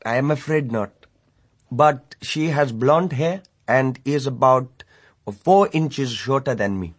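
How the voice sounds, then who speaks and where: An actor playing a reserved young foreign prince in a radio drama, answering in formal, clipped phrases. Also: male voice, mid-range voice, takes it slowly. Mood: guarded.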